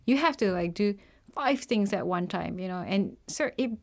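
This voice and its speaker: A woman's voice: mid-range voice, animated, moderate pace, gravelly, a little mellow. A young woman from Singapore, chatting as an interview guest and filling her talk with "like" and "you know". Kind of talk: casual conversational interview.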